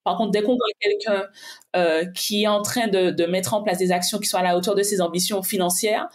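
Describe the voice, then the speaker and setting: A woman's voice: mid-range voice, steady tone, a little fast. A woman guest in a casual conversation, a finance coach who talks pragmatically and thinks aloud with frequent restarts. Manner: reflective, pragmatic, many restarts and fillers while thinking aloud.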